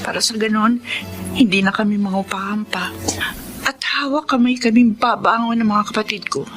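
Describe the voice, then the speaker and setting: A woman's voice: medium pitch, fairly even intonation, normal speed, natural voice. An actress playing a hopeful, resolute young woman in a Filipino radio drama, speaking in an earnest, heartfelt way. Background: music.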